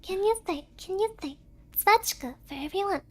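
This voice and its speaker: Casting: female virtual streamer, tiny sky-high voice, cute and playful, casual co-stream banter with Japanese interjections. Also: expressive, normal speed, a little mellow. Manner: cutesy, peppers talk with Japanese interjections.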